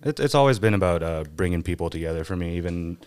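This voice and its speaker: Deep-voiced easygoing young man. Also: animated, quick pace, a little mellow, smooth, no room sound. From Pasadena, California. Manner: easygoing, rambling, filler-heavy. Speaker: podcast guest, van builder. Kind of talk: casual podcast chat.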